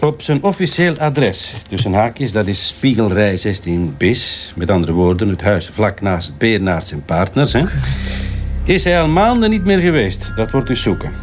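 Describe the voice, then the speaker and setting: An actor playing a cautious, methodical Flemish police detective with a measured, matter-of-fact delivery in a crime drama. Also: male voice, fairly low pitch, sing-song, faint music behind. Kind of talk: naturalistic television crime drama acting.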